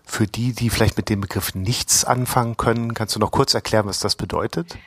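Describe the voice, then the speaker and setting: A man's voice: lowish voice, fairly even intonation, a little fast, dry recording. A man hosting a podcast, speaking conversationally and asking guiding questions. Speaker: podcast host. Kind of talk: interview hosting.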